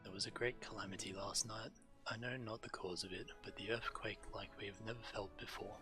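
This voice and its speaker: A man with a fairly low voice reads game quest text aloud as a streamer. Clear music plays behind him as he narrates. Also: steady tone, fairly quick.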